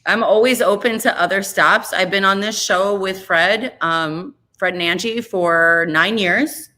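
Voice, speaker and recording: A woman's voice: mid-range voice, a little slow, sharp tone. A woman in her forties, a radio host, chatting candidly and ramblingly as an interview guest. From Chicago area, US. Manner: candid, rambling, self-deprecating. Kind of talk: casual interview chat.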